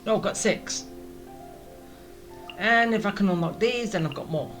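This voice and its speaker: A woman gives chatty, casual game commentary, thinking aloud as she goes. Her voice is mid-pitched, with faint game music behind it. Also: normal speed. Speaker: video game let's player.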